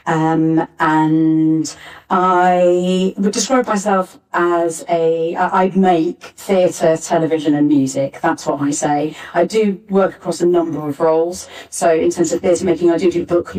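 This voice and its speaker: A woman's voice: deep voice, darkish tone. A woman speaking as a panelist, introducing herself and her work at a live discussion. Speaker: panelist, writer and composer. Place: arts centre theatre, live panel. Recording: distant backup live recording.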